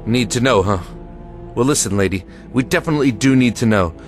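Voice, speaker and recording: A man's voice: medium pitch, animated, brisk, natural voice. A man playing a weary, guarded American army lieutenant in an audio drama, speaking in a clipped, plain way with military authority. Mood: questioning, firm. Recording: music under the voice.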